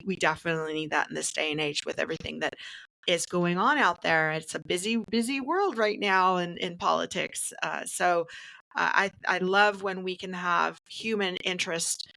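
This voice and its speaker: Female podcast host, forward bright tone with some fry, earnest and encouraging in casual talk. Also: fairly low pitch, moderate pace.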